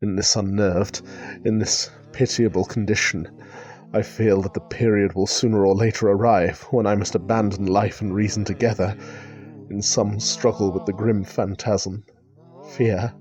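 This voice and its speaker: An actor playing an anguished, reclusive gentleman in a gothic radio drama, speaking in a formal, fretful, melodramatic way. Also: on the low side, fairly even intonation, normal speed, natural voice. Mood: despairing dread. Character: anguished, nervous reclusive gentleman, doomed last heir.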